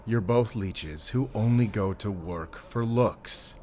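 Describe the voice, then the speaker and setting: A man's voice: fairly low pitch, takes it slowly, warm tone. A man playing a stern, commanding middle-aged father in an audio drama. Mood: furious. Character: wealthy stern father, protective of his son, commanding.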